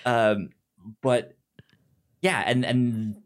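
A podcast host with a noticeable stutter, repeating sounds and syllables while casually retelling a film plot in an animated, acted-out way. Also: mid-range voice, very slow.

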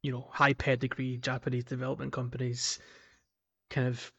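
A man's voice: mid-range voice, monotone, moderate pace, creaky, pushed and bright, breathy edge, dull audio. A man chatting casually as a gaming podcast co-host, hesitant and rambling, with frequent chuckles. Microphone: remote call.

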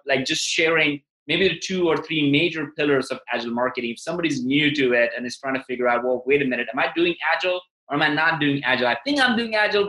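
Male podcast host: bright, forceful, slightly high voice, emphatic and conversational, recorded in an echoey room. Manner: emphatic, acts out quoted remarks. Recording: echoey, reverberant room sound.

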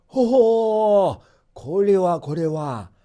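Storybook grandpa, male performer: hearty, easily amazed, mellow tone, drawn-out exclamations. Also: high-pitched, animated, voice pushed up, heavily acted. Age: older. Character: good-natured, easily amazed old man of a folktale. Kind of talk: storybook character voice.

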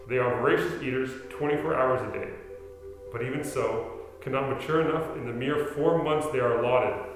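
A man acting in a radio play as an earnest middle-aged storyteller full of wonder, with a deliberate, formal delivery. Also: mid-range voice, natural voice. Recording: very echoey hall, music behind.

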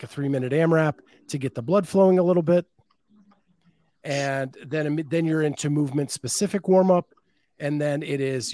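A man's voice: medium pitch, a little mellow, no room sound. Dry-voiced male podcast host. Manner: reads listener comments aloud and expands on them. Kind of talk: casual chat.

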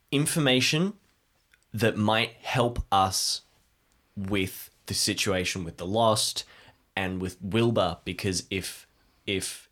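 A man's voice: on the low side, fairly bright tone. A man playing a tabletop role-playing game, chatting casually and thinking aloud in broken, hesitant phrases. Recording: music playing behind the voice. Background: background music.

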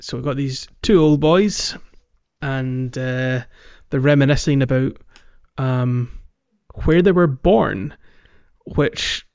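A man co-hosting a podcast, chatting casually about a video game in a rambling, halting, jokey way. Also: mid-range voice, a little mellow. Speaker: podcast co-host. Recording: dull lo-fi audio, roomy echo.

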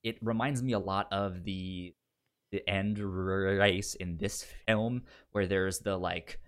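A man's voice: deep voice, fairly even intonation, slow pace, fairly bright tone. A man chatting casually as a podcast host giving recommendations, stuttering and repeating syllables and words as he goes. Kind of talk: casual film chat.

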